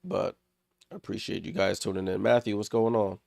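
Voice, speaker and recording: A man's voice: on the low side, fairly even intonation, fairly quick, vocal fry, a little mellow, no room sound, clean studio sound. A man hosting a live watchalong stream, calling the game in short clipped play-by-play bursts and chatting with viewers, a little under the weather and congested. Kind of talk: casual live play-by-play commentary.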